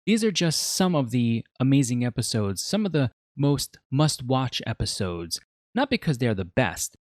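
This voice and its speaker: Animated man in his forties, dry sound. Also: medium pitch, normal speed, no room sound. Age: 40s. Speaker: video essay host and reviewer. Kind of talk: scripted review narration.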